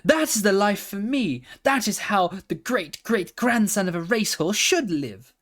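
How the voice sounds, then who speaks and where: A man's voice: sits high, animated, measured pace, fairly bright tone, voice pushed up, exaggerated voice. A man doing a proud headstrong young pony. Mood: proud, eager.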